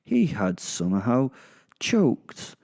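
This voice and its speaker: A man's voice: medium pitch, huge pitch swings, slow pace, vocal fry, a little mellow. A man narrating a first-person horror comedy audio drama in a gleeful, sardonic, sweary manner. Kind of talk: first-person darkly comic horror storytelling, in character.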